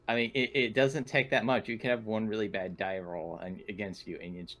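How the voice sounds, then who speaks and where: A man's voice: fairly low pitch, fairly even intonation, fairly quick, a bit bright. A man talking casually as a player after a tabletop role-playing game. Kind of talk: casual post-game chat.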